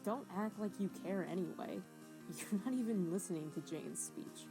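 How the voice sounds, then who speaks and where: A woman's voice: mid-range voice, normal speed, vocal fry, music in the background, natural voice. A woman voicing a sardonic imaginary young man, a mocking ghost with a dry, needling delivery, in an audio drama. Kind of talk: voiced web-fiction audio drama.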